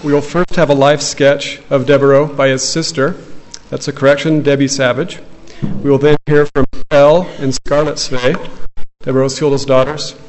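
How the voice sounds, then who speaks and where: A man's voice: a little high, slight background noise, poor audio. A man conducting a funeral service in a chapel, announcing the program in a plain, orderly way. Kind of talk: formal announcements.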